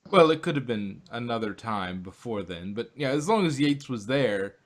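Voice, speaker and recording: A man's voice: medium pitch, lively intonation, normal speed. A man co-hosting a fan podcast review, talking casually and rambling with lots of fillers and restarts. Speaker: podcast co-host.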